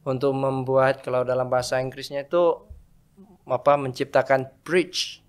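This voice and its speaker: A man's voice: mid-range voice, little pitch movement, normal speed, clear, resonant tone. A man from Vietnam with a Vietnamese accent, answering in a casual interview and often searching for words in a language that is not his native one. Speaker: podcast guest, religious brother studying theology.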